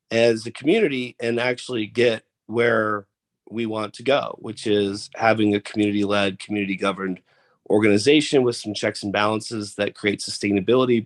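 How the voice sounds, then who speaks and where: A man with a head cold and a congested voice, the founder presenting an annual member update casually, off camera on a video call, rambling with fillers and restarts. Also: fairly low pitch. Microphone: off camera, video call mic.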